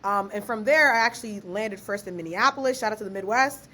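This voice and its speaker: A young woman, born in Ghana and raised in Maryland, chatting as a podcast guest in a lively, slangy way and laughing easily. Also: mid-range voice, quick pace, low-quality recording. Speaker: guest, author and researcher. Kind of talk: casual podcast interview.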